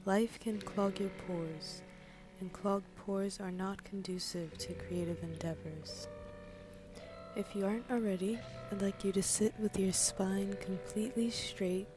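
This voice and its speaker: Loud music surrounds a woman's soft, soothing voice. She guides a meditation with slow, deliberate pacing and a medium pitch. Her delivery is gentle and breathy, giving scripted instructions almost in a whisper. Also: fairly even intonation. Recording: voice over prominent music bed.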